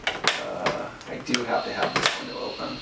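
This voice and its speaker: A man hosting a paintball live stream, talking casually and haltingly as he runs the setup. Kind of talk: casual chat while running a stream. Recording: loud noise in an echoey room.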